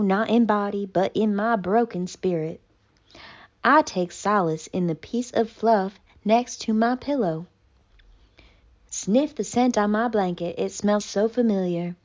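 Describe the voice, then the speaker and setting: A woman voicing a haughty, melodramatic, traumatized female cat in a pompous, mock-tragic theatrical monologue with deliberate pauses. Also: medium pitch, highs cut off, close to an everyday voice. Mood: brooding, haunted.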